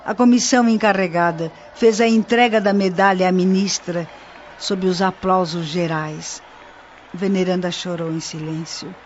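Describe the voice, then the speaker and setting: A Brazilian actress playing a kindly, reverent female spirit helper who tells stories to a newcomer in a measured radio-drama manner. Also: lowish voice, fairly even intonation, slight background noise, natural voice. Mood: solemn, admiring. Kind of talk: radio drama acting, storytelling. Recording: dull lo-fi radio drama over music.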